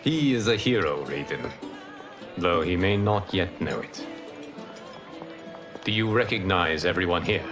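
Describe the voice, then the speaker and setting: An actor playing an ancient, wise and composed mentor god in a video game, speaking in measured, formal explanations. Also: male voice, deep voice, lively intonation. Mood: calm. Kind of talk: video game cinematic acting. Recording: music behind the voice.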